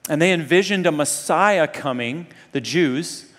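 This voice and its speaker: A man's voice: on the high side, a little slow, fairly bright tone. A man preaching a sermon to students in a conversational, earnest way, often putting rhetorical questions to his listeners. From Zambia and Kenya. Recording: long hall reverb.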